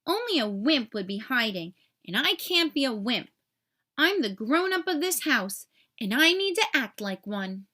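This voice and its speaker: A woman's voice: high voice, very animated, a little slow, sharp tone, full character voice. A woman voicing a brave, earnest, homesick young boy in a children's storybook. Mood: determined. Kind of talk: children's storybook read-aloud.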